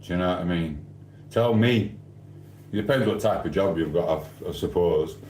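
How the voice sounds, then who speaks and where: Lowish-voiced Sheffield man bantering live. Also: moderate pace, a little mellow, reverberant, a little hiss or hum. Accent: Sheffield Yorkshire. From Sheffield, England. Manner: banter-heavy, mock trash-talking, rambling. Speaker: livestreamer. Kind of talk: late-night live stream chat. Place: home kitchen at night.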